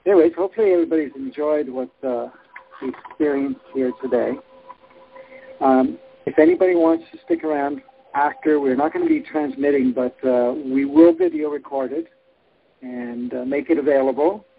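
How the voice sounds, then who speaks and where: A man hosts a spiritual workshop, rambling along with many small pauses. His voice has a gravelly edge. It comes through a thin, phone-like line with a faint hiss behind it. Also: on the high side, sing-song. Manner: rambling, frequent filler pauses. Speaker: workshop host. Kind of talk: relaxed spiritual workshop talk.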